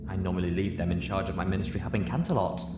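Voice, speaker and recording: A man voicing an eager, excitable female unicorn scientist pony in a story reading. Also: deep voice, rapid-fire, close to an everyday voice. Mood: enthusiastic, proud. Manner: bright, eager, persuasive. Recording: echoey room, audible background noise.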